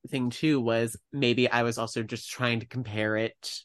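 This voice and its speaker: A man's voice: medium pitch, fairly even intonation, a little slow. A man co-hosting a podcast, chatting casually and self-deprecatingly, often quoting his own thoughts aloud. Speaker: podcast co-host. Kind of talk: casual chat.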